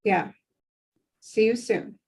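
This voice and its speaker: Woman reading calm, reserved professional woman, unhurried. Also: medium pitch, creaky, slightly rough, full character voice. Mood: calm, supportive. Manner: calm, measured, supportive. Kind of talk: table read acting.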